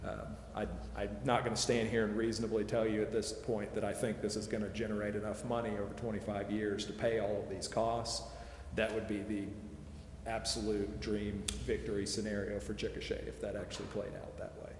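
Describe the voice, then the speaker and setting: A man with a fairly low voice presents at a public meeting. He explains methodically and qualifies his points as he goes. The room is echoey with long reverb, and faint noise sits behind him. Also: little pitch movement, fairly quick, darkish tone. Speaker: presenter answering committee questions on project financing. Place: large echoey council chamber. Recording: echoey hall reverb, clear background noise.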